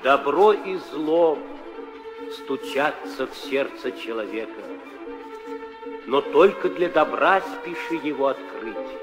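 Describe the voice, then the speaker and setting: An actor playing a solemn poetic storyteller, declaiming verse in 1960s radio drama style. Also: male voice, on the high side. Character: solemn poetic storyteller preaching good over evil. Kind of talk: 1960s theatrical verse recitation.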